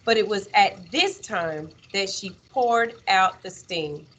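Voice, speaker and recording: A woman teacher reading a children's story aloud in an expressive, measured way, with dramatic pauses. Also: mid-range voice.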